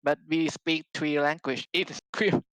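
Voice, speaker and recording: Clear-voiced non-native English speaking man, halting phrasing. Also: a little high, steady tone, fairly bright tone, dry recording. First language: not English. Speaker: podcast guest, esports player. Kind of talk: casual chat.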